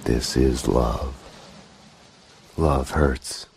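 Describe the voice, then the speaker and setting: An actor playing a weary, grieving hardboiled detective, narrating a film-noir game in a brooding, fatalistic way. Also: male voice, very deep voice, steady tone, extremely slow, vocal fry, noisy background, exaggerated voice. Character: weary, grief-stricken hardboiled detective, middle-aged man. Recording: music behind the voice.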